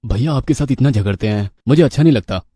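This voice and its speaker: Man acting sly young husband in a story, evasive, mellow highish voice, dry sound. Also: animated, fast talker, breathy edge, no room sound. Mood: sympathetic. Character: smooth-talking, evasive young cheating husband.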